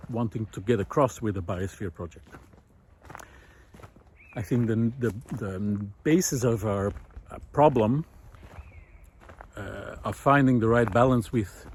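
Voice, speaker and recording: A man speaks thoughtfully as he walks along an outdoor forest path, his voice sitting at an ordinary middle pitch. He hesitates often, filling gaps with uhs and circling back on his words. A faint outdoor hush runs underneath. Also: a little hiss or hum. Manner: thoughtful, hesitant, many fillers and restarts. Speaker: vlog host. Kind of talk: reflective walking monologue.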